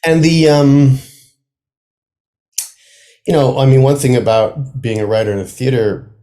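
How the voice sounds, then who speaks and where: A man's voice: medium pitch, steady tone, unhurried, dark tone. A middle-aged man speaking as a guest in a casual interview, searching for his words with self-deprecating humor. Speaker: guest, playwright.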